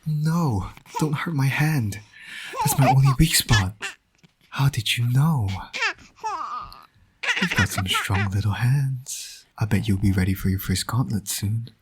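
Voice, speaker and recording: A man playing a flirtatious, teasing supervillain and doting father in an intimate audio roleplay, with a husky, breathy voice. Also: medium pitch, a little slow, very noisy, natural voice. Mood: playful doting.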